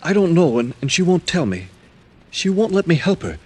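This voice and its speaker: An actor playing a very tall, thin carnival performer, formal, mild and lovelorn, in old-time radio drama. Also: male voice, on the high side, big pitch swings, normal speed, darkish tone, breathy edge. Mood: worried.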